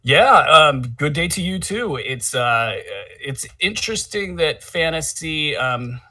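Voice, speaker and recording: A man co-hosting a casual sports chat, talking in a halting, conversational way, a little away from the microphone. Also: on the high side, lively intonation, sharp tone, dry recording, clean studio sound, far from the mic. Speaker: podcast co-host. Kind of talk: casual fantasy basketball chat.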